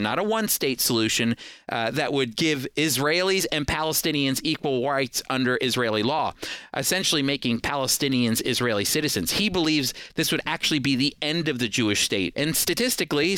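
A man's voice: on the high side, lively intonation, brisk, sharp tone, clear, resonant tone, no room sound. A man hosting a program, giving scripted political commentary to listeners in an emphatic, explanatory manner.

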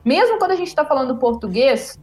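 A woman's voice: high voice, big pitch swings, brisk, a little mellow, faint music behind. A Brazilian woman chatting on a podcast, emphatic and fond of repeating words. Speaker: German teacher guest. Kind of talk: casual podcast chat.

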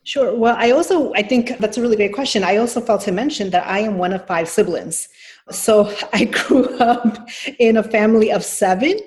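A woman's voice: medium pitch, normal speed, a little mellow. Thoughtful woman explaining at length. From New York City. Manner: thoughtful, explanatory, frequent hedges and restarts. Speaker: nonprofit executive guest. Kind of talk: interview answers.

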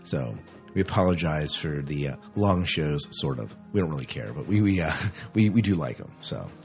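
A man's voice: a bass voice, expressive, moderate pace, soft background music. A man hosting a podcast in casual chat, rambling along in a jokey way. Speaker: podcast host.

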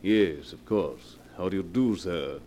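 An actor playing a large, middle-aged blackmailer in 1940s radio style, formal and unhurried with oily mock politeness. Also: male voice, low voice, mellow. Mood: suavely polite. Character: large, coarse, bearded blackmailer of fifty, suavely menacing. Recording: noisy vintage 1940s radio recording.

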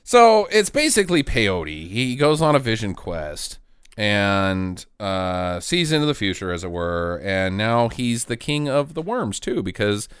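A man in casual, profane conversation, recapping a movie with friends and acting out characters in quick jokey asides. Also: on the low side, very animated, clear, resonant tone, no room sound, studio quality.

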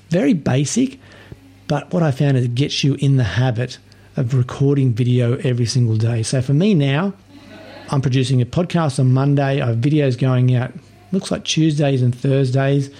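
A man hosting a podcast, hoarse and scratchy from a sore throat, talking in a relaxed, chatty way with small restarts. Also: medium pitch. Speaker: podcast host. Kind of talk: casual podcast monologue.

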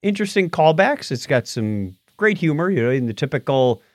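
Lively middle-aged man co-hosting a podcast. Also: fairly high pitch, fairly quick, no room sound, clean studio sound. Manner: animated, jokey, reads out patron names. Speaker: podcast co-host. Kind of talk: casual chat.

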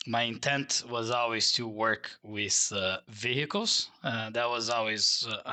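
Bright, low-voiced Brazilian man. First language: Portuguese. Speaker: interview guest, vehicle designer. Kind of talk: reflective career recounting in interview.

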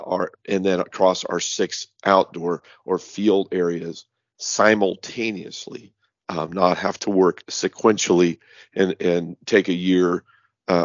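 Hoarse low-voiced man hosting a podcast. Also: no room sound, muffled recording. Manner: rambling with many fillers and restarts. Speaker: podcast host. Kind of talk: casual podcast monologue.

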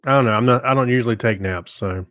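A man's voice: mid-range voice, quick pace, a bit bright, no echo, studio quality. A man co-hosting a podcast, chatting casually and telling things in a hesitant, rambling way. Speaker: podcast co-host. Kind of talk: casual chat.

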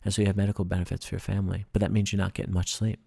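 A man's voice: deep voice, level tone, rapid-fire, vocal fry, warm tone, husky. A man, a guest on a podcast, explaining with enthusiasm in casual chat and many fillers. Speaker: podcast guest, breathing workshop instructor.

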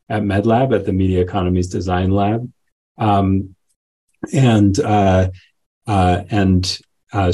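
Male panel host, very low pitch, a little rough-edged, measured delivery with hesitations. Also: mellow. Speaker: host, moderator introducing a panel. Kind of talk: semi-scripted spoken introduction.

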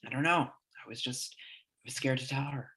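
A man's voice: mid-range voice, moderate pace, breathy edge. A young actor playing a frightened teenage boy who talks in a subdued, resigned way. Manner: subdued, flat, trying to sound calm. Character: scared teenage boy Rory, quietly resigned older brother. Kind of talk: student audio drama.

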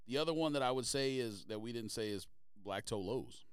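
A man's voice: mid-range voice, lively intonation, brisk, clear, resonant tone, no echo, studio quality. A man co-hosting a podcast in casual debate, insistent and argumentative. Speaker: podcast co-host.

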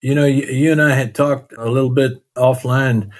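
A man's voice: medium pitch, fairly even intonation, normal speed. A man with a Texas accent hosting a podcast interview in an easygoing, friendly way. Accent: Texan. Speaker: podcast host.

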